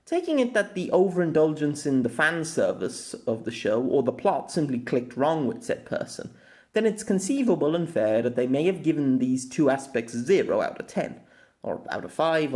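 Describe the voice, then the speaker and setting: A man narrating a scripted opinion essay as host, in deliberate, formal phrasing with colloquial asides. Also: mid-range voice, darkish tone. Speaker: host of an anime audio essay.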